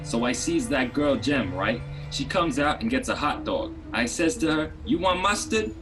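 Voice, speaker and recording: A man's voice: medium pitch, animated, normal speed, close to an everyday voice, low-quality recording. A man playing a pushy, shifty, wisecracking street hot dog vendor in a comedy radio play. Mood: gossipy. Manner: wisecracking, slangy. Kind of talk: radio drama comedy acting. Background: music.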